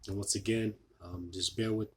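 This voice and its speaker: A man losing his voice, giving an informal scripture sermon, halting with many fillers and restarts. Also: on the low side, steady tone, darkish tone, a little hiss or hum. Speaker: preacher.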